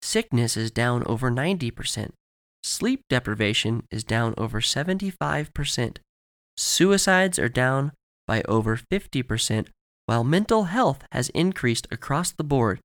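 A man voicing a polished, upbeat woman presenting a corporate promotional announcement in a measured way. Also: mid-range voice, sing-song, a bit bright, dry recording, clean studio sound. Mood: upbeat, soothing.

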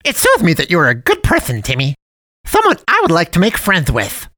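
Loud, squeaky, folksy storybook cat man, purring and sweetly cajoling, dry close sound. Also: wildly swooping pitch, fairly quick, dry recording, exaggerated voice. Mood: friendly, jolly. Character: jolly chubby male cat man of the dump.

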